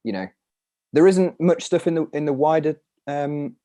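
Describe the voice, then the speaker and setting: A man's voice: a little high, moderate pace, mellow. A British man, a climber, answering questions in a casual live chat, rambling a little as he thinks aloud.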